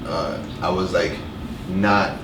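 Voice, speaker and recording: Low, monotone man in his thirties. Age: 30s. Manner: monotone, unhurried speech. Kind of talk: casual podcast conversation. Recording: roomy, distant mic, air-conditioner hum.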